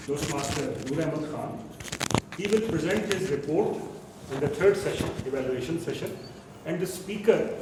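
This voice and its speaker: A man chairing a speaking club meeting and explaining the rules step by step. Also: a little high. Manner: instructive, step by step. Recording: very echoey room, far mic, noisy.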